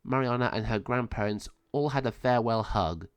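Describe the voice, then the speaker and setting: A man's voice: mid-range voice, fairly quick, clear, resonant tone, dry recording. A man reading a children's adventure story aloud as a scripted narration.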